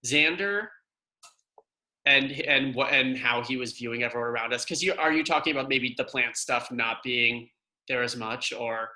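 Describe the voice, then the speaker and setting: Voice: medium pitch, normal speed, bright, forceful tone, low-quality recording. An actor talking casually and tentatively in a group discussion, giving notes on a script.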